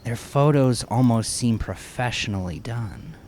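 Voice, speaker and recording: A man's voice: medium pitch, unhurried, a little mellow. A man narrating a first-person story expressively, acting out the storyteller's reactions as he goes. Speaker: author narrating own horror story.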